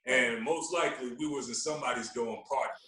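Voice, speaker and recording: A man tells stories casually as an interview guest on a podcast. His voice sits at a middling pitch, with an animated, repetitive way of talking. It is picked up a little away from the mic in an echoey room. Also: a little fast, far from the mic. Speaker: podcast guest, radio personality.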